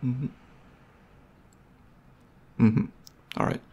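A man playing a caring, goofy, slightly nervous boyfriend in an ASMR roleplay, chatting intimately and hesitantly to the listener with a husky, slightly gravelly voice. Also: drawn-out words, warm tone. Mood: earnest, hesitant. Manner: hesitant, rambling, playful.

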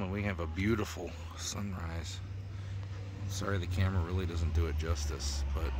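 A man's voice: low voice, noisy background. A man, casually vlogging to a phone held at arm's length, outdoors and in a car, rambling with lots of fillers. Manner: relaxed, rambling with many fillers. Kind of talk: casual vlog narration.

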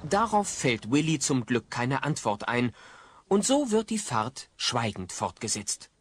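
An actor as the wry, measured storyteller narrating a sitcom audio play. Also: male voice, mid-range voice, sing-song, a little hiss or hum.

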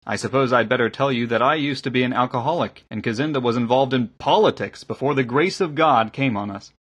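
A man's voice: mid-range voice, fairly quick, slightly rough. A man voicing a kindly, eager engineer and lay preacher in an audiobook, with a hearty, welcoming manner. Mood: earnest. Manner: hearty, welcoming, gently correcting. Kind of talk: audiobook character acting.

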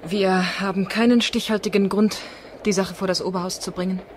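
A woman's voice: mid-range voice, steady tone, a little fast, slight background noise, lightly acted. An actress playing a determined, troubled woman lawyer in a German radio crime drama, earnest, insistent and articulate.

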